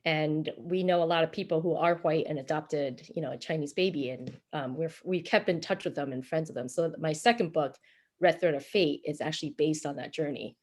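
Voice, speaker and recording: A woman's voice: deep voice, a little fast, darkish tone. A woman with an American accent giving informal, chatty interview answers as a guest novelist, often saying "like" and "you know". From born in Taiwan, moved to the United States at seven.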